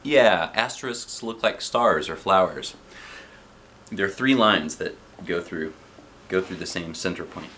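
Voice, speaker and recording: Animated bright-voiced dad reading aloud. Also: male voice, sits low, a little slow, slight background noise. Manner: expressive, playful. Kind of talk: animated storybook read-aloud with chatty asides. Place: living room sofa, indoors. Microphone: camera a short distance away.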